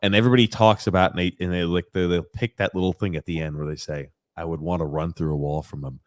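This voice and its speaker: A man's voice: low-pitched, steady tone, fast. A man co-hosting a sports podcast, talking in a conversational, occasionally profane way as he banters casually.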